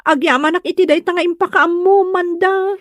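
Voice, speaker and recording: Woman acting an earnest, high-voiced daughter. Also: fairly even intonation, normal speed, dry recording, studio quality. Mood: dismayed. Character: earnest, reasonable grown daughter pleading for peace. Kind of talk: Ilocano radio-style drama acting.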